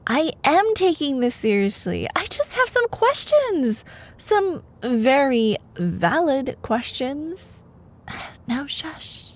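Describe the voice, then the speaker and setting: A young woman playing a sweet, teasing girlfriend in an intimate ASMR roleplay, soft and playful, sometimes dropping toward a breathy whisper. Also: fairly high pitch. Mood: mock serious. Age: young adult. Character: sweet, teasing young girlfriend, a sleepy-hours college student. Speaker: ASMR voice performer.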